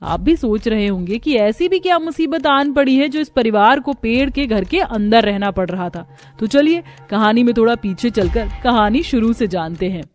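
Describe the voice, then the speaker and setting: A woman's voice: on the high side, animated, moderate pace, darkish tone, soft background music. A woman narrator reading a scripted story in a storytelling style.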